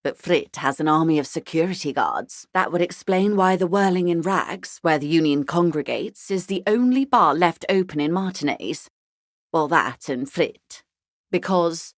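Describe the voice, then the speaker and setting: An actress playing a poised older corporate negotiator in a video game, shrewd and wry, with genteel, measured diplomacy. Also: female voice, low-pitched, dry recording, clean studio sound. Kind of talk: video game character dialogue.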